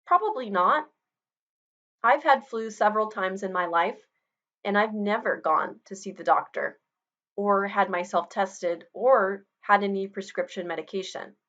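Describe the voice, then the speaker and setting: Middle-aged woman teacher, relaxed explanatory lecture voice, slightly muffled webcam sound. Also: mid-range voice, a little slow. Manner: conversational, explanatory, personal asides. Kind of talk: recorded online lecture. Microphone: webcam video recording.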